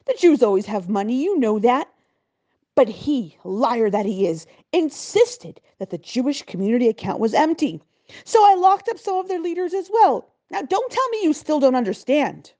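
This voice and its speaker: Raging, pompous governor, read by a woman; booming, scornful, big pitch leaps. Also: sing-song, moderate pace, dark tone. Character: arrogant, furious male provincial governor.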